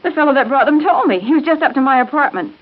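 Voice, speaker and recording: A woman's voice: high-pitched, expressive, fast. An actress playing a plain-spoken, working-class young city woman in a 1930s radio soap, colloquial and unpolished. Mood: urgent, puzzled. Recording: phone-like thin 1930s radio recording.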